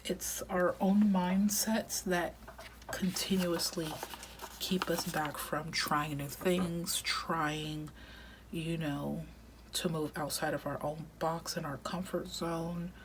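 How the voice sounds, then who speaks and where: A low-pitched woman in her thirties talks through her planner in a relaxed, rambling way, slipping into hushed near-whispers as she works. Her voice has turned slightly raspy from recording all day. A steady hiss of background noise sits under the home recording. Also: rough recording. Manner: chatty, reflective, drifts into soft half-whispers. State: voice getting raspy from long recording. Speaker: planner vlogger. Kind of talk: casual plan-with-me chat.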